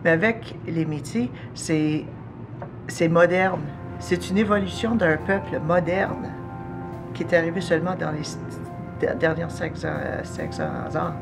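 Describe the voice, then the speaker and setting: A woman in her forties with a Canadian French accent, interviewed as an artist, speaking thoughtfully with frequent pauses and fillers. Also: fairly low pitch, vocal fry. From Ontario, Canada. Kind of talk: documentary interview. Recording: music bed under voice.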